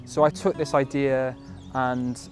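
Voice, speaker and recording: A man from West Wales, a folk musician, speaking in a measured, thoughtful way with hesitant pauses. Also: medium pitch, flat delivery. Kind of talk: reflective spoken appeal for a project. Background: plucked guitar music.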